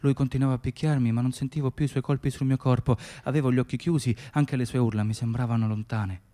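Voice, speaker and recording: An actor playing a weary, dutiful Moroccan immigrant hospital worker in a radio drama, speaking in a plain, insistent monologue. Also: male voice, mid-range voice, fairly even intonation, fast talker, warm tone, close to an everyday voice. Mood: wounded, bitter. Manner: clipped inner monologue and plain testimony.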